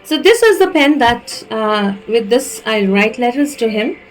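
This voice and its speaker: A woman's voice: on the high side, sing-song, a little slow, soft background music, rough recording. A woman from India with an Indian accent, giving a channelled tarot reading and speaking hesitantly, with many fillers and restarts. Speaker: tarot reader.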